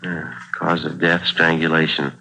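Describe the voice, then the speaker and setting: An actor playing a terse, businesslike detective sergeant who narrates his case in flat, clipped 1940s radio drama style. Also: very deep voice, unhurried, creaky, noisy background, full character voice. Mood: deadpan. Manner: deadpan, matter-of-fact. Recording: old radio recording with music.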